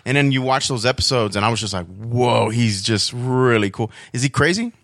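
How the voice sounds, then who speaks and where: A man's voice: mid-range voice, normal speed, fairly bright tone, no room sound, studio quality. A man chatting casually in an informal, rambling storytelling way, as a podcast guest. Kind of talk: casual chat about superhero comics and cartoons.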